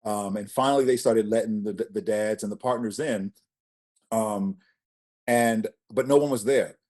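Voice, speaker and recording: A man's voice: fairly low pitch, unhurried. A man in his forties, a spoken word poet, talking casually as an interview guest and telling stories with emphatic rises. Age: 40s. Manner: expressive storyteller, emphatic rises.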